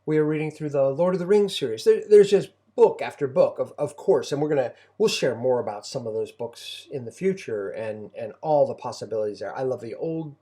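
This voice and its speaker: A man's voice: mid-range voice, expressive, brisk, hardly any pauses, warm tone. A middle-aged man speaking casually as a co-host and homeschooling parent, giving advice in a reflective, encouraging way. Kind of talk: casual conversational advice talk.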